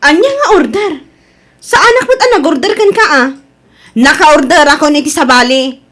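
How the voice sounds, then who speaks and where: A woman's voice: high voice, lively intonation, normal speed, a bit bright. A woman with an Ilocano Filipino accent playing a stubborn, huffy, bossy village wife who holds grudges, in a radio drama. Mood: indignant. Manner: huffy, insistent.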